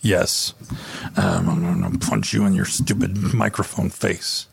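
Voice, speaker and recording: A voice actor goofing around between takes, playful and joking. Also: male voice, low-pitched, level tone, a little slow, gravelly, slight background noise. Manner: playful, jokey, swings between takes and banter.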